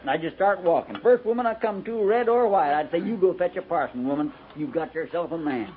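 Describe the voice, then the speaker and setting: An actor playing a restless, complaining cavalry trooper in a 1950s radio western, with a folksy rural American accent. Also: male voice, on the high side, fairly quick, lightly acted. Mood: grumbling, exasperated. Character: grumbling, restless, love-starved male cavalry trooper. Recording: tinny 1950s radio recording.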